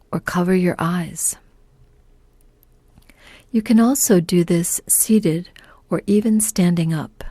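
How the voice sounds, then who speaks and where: A woman leading a guided meditation in a soft, breathy, half-whispered voice, calm and gentle, with unhurried pauses. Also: lowish voice, dry recording. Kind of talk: guided meditation, scripted narration.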